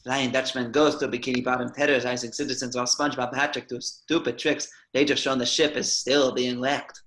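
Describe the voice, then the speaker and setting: A man's voice: medium pitch, little pitch movement, a little fast, fairly bright tone. A man reading stage directions as scripted narration at a table reading.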